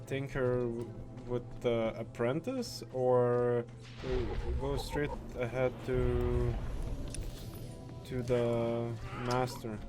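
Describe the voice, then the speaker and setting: A man doing casual gameplay commentary, halting and repeating words, with frequent swearing. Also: mid-range voice, steady tone, music in the background. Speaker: gaming video host.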